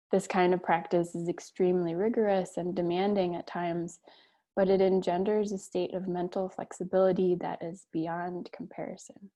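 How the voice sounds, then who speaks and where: A woman leading a guided meditation on a video call, speaking gently and unhurriedly with long pauses. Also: fairly low pitch.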